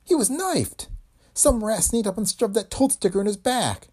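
A man voicing a tough, vengeful gangster's woman who talks in brassy slang, with a husky tone. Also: medium pitch, sing-song, brisk, a little mellow, pitched above the performer's own voice. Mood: shrill fury.

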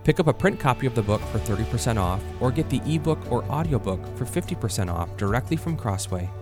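A man's voice: medium pitch, animated, quick pace. A man reading a scripted promotional outro as an announcer. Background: music.

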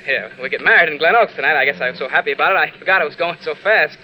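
A man's voice: a little high, rapid-fire, sharp tone. An actor playing a nervous young man in an old radio drama, stammering and pleading as he makes excuses. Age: young adult. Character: nervous, pleading, evasive young sweetheart in trouble. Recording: noisy old radio broadcast recording.

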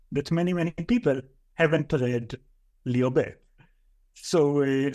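A man in his thirties with an Israeli accent, a guest scholar answering interview questions thoughtfully, with many fillers. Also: mid-range voice. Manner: thoughtful, listing at length with fillers.

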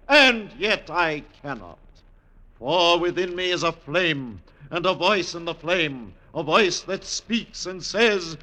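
A self-deifying Roman emperor orating in an old radio play. The voice is high, extremely sharp and forceful, drawn out slowly with pompous theatrical grandeur. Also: male voice, exaggerated voice. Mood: exalted, trembling. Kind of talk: 1950s radio drama oratory.

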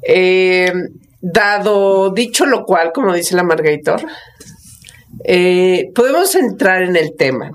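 A woman hosting a conversational podcast. She introduces her guest haltingly and uses many fillers. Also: on the low side, lively intonation. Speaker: podcast host.

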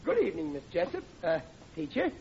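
A man's voice: huge pitch swings, brisk, creaky, heavily acted. An actor playing a bumbling, good-natured working-class man in 1940s radio comedy, hesitant and folksy in his talk. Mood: cheerfully determined. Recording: dull, noisy old radio recording with rumble.

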